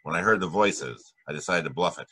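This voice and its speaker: An actor playing a wisecracking hard-boiled private detective in 1940s radio style, with a rough-edged voice. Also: male voice, low voice, fairly quick, bright, forceful tone, heavily acted. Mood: narrating, tense. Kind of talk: 1940s radio detective drama acting and narration.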